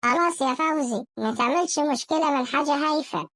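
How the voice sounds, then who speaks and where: Nonchalant, back-talking Egyptian cartoon housewife, performed by an actor, high voice, dry close recording. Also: fast, fairly bright tone, no echo. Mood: placating. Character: Fawzia, casual, defensive cartoon housewife. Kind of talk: Arabic comedy cartoon sketch.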